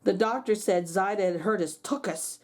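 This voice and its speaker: A woman reading a children's storybook aloud, expressively and dramatically, with drawn-out words. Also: medium pitch, a little mellow. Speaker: children's read-aloud host. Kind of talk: expressive storybook narration for kids.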